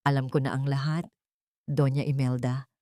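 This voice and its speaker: A woman's voice: a bass voice, little pitch movement, normal speed, mellow, dry recording, pitched below the performer's own voice. Woman doing a wronged young wife, defiant and bitter, story drama, dry sound. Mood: bitter resolve. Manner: bitter, intense.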